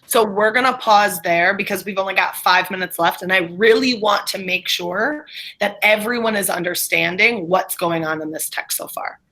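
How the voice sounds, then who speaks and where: A woman teaching a middle school class over a video call, informal and encouraging, with quick asides. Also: mid-range voice, pushed and bright, low-quality recording. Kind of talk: online class instruction.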